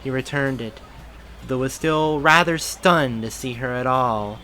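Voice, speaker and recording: A man reading a fan-written story aloud in a steady narrating voice. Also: mid-range voice, unhurried, faint music behind, low-quality recording. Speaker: reader of fan fiction.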